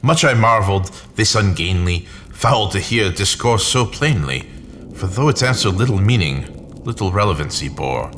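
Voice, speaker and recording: A man with a low voice gives a dramatic reading of gothic verse over noisy ambience. His delivery is solemn and brooding, with measured, emphatic phrasing, as a theatrical narrator. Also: animated, fairly bright tone. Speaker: narrator, poetry reader. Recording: clear background noise and ambience.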